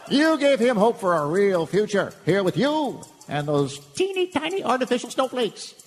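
A man's voice: very high voice, big pitch swings, moderate pace. An actor playing a kindly, earnest older small-town father figure in a live comedic radio play. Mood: consoling. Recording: live hall reverb, music behind.

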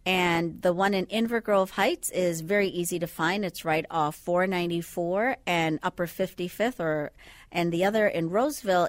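A woman from the Bahamas, a sleep physician, calmly explaining things step by step as a guest in a radio studio. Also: fairly low pitch, no echo. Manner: calm, patient, explains step by step. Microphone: studio microphone.

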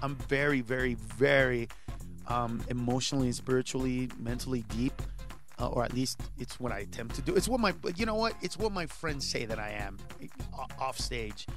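A stand-up comedian in his forties, born in Honduras, talking animatedly as an interview guest and rising to shouts when he acts out his bits. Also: mid-range voice, normal speed, over music. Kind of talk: casual interview chat.

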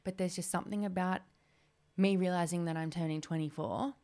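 Chatty young woman, low voice. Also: fairly even intonation, fairly quick, warm tone. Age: 20s. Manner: chatty, rambling, many "like"s. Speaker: podcast host. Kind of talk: solo podcast chat.

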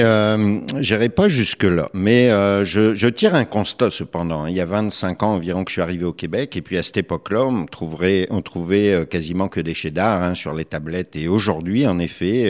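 A middle-aged man with a low voice and an accent from France. He answers like a politician in an interview, choosing his phrases carefully and pausing often as he searches for the next one. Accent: French from France. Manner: measured, hesitant, careful phrasing. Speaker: politician, member of the Quebec legislature.

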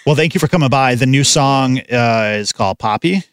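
A man hosting a radio interview, relaxed and joking as he asks questions. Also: mid-range voice, a little fast, clear, resonant tone, dry recording, studio quality. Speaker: radio host. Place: radio studio. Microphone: studio mic.

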